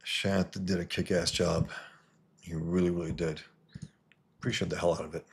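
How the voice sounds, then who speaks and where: A man from New York hosting a casual livestream, reading viewer comments and answering in a halting way that restarts and repeats words. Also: low-pitched, little pitch movement, creaky, breathy edge. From New York, USA. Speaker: livestream host and comic artist.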